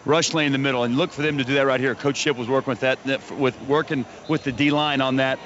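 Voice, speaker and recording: A man's voice: medium pitch, fairly even intonation, fast talker, a bit bright. A man with an American accent giving coaching-style breakdowns as a sideline analyst on a live football broadcast. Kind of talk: live analytical sports commentary. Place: outdoor stadium sideline. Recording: dull lo-fi broadcast, noisy stadium.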